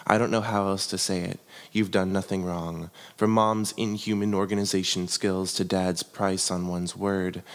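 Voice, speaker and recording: Deep-voiced young man in long reverb. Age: young adult. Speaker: reader of a family letter. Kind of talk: expressive reading aloud of a letter.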